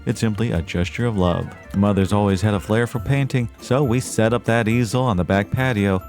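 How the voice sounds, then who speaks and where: A man acts as a small-town podcast host in an audio drama, low-voiced and eager to please. He talks in genteel, flowery, old-fashioned phrases, with music playing behind him. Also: a little mellow, natural voice. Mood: cheerful, welcoming. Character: chipper, verbose small-town podcast host and dutiful son. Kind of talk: audio drama, mock radio-show narration.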